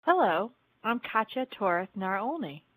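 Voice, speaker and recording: A woman's voice: mid-range voice, very animated, measured pace, no room sound, low-quality recording. A woman playing an earnest, plucky young female alien in a sci-fi game audio drama, a little unsure of herself. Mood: tense, plucky. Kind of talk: fan audio drama, game-story character acting.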